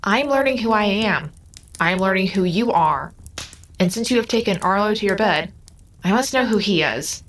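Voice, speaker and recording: A woman's voice: mid-range voice, animated, normal speed, fairly bright tone, a little hiss or hum, close to an everyday voice. A woman playing an inhumanly curious shapeshifting daughter spirit in an audio drama, questioning in a formal, literal way. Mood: insistent, cool.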